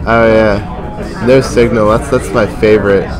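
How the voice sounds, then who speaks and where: A man presenting an informal convention panel in a playful, exclamatory way with a loud voice. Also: on the low side, fairly even intonation, a little slow. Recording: live room with crowd noise. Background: audience murmur and room noise.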